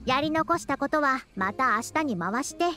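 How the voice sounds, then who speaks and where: A woman's voice: high-pitched, expressive, moderate pace, pushed and bright, faint music behind. A woman voicing a proud, youthful-sounding scholar in a Japanese video game, talking in a self-important yet caring way with old-fashioned elder's phrasing. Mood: earnest, caring. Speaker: scholar and teacher. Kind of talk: Japanese anime-style video game voice acting.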